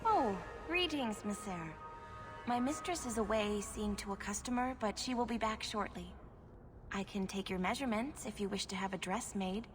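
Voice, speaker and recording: An actress playing a timid, sad elven seamstress in a video game, speaking hesitantly and deferentially. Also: female voice, medium pitch, moderate pace, music in the background, lightly acted. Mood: polite. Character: timid, sorrowful elven seamstress, polite abandoned wife.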